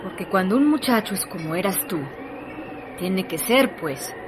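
An actress playing Sara, a calm woman who waits for her lover, soft and teasing, in a radio drama. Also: medium pitch, normal speed, background noise, natural voice. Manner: soft, teasing, with quiet laughs.

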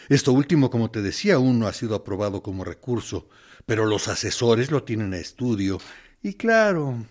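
A man's voice: medium pitch, close to an everyday voice. A man acting a radio drama monologue as a menacing interrogator who feigns reason and kindness, insinuating and mock-courteous. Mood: insinuating menace.

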